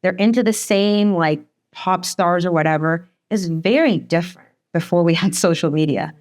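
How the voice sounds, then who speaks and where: Quick chatty woman in her 40s, slight northeastern accent, lowish voice, laughs a lot. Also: a little mellow. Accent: slight Northeastern American. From Sweden. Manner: fast, chatty, laughs often. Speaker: guest, divorce attorney. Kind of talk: candid podcast conversation.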